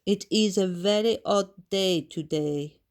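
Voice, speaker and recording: Storybook maid performed by a woman reader, dragging slow delivery, formal, put-upon and dour. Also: mid-range voice, darkish tone, close to an everyday voice. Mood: deferential.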